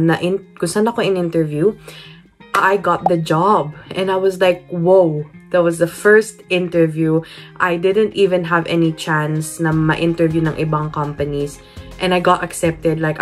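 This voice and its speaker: A woman in her thirties with a Filipino accent talks straight to a close camera in a small wood-panelled room. Her voice sits fairly low, and she speaks candidly and earnestly, restarting her sentences often. Soft instrumental music plays faintly underneath. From Philippines. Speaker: vlogger. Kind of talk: personal storytelling vlog, switching between English and Tagalog. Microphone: camera at close range, facing it.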